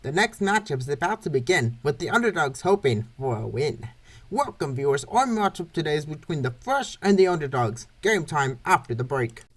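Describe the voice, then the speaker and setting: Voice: medium pitch, big pitch swings, moderate pace. A baseball play-by-play announcer reading scripted commentary for a comic dub in short, clipped phrases.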